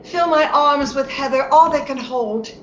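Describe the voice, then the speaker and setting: Woman acting a high-voiced, passionate, willful young woman. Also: a little slow, a bit bright. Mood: rapturous, tender. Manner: theatrical, impetuous. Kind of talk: old-time radio drama acting. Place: indoor room, remote video-call session. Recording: roomy, dull video-call audio, faint noise.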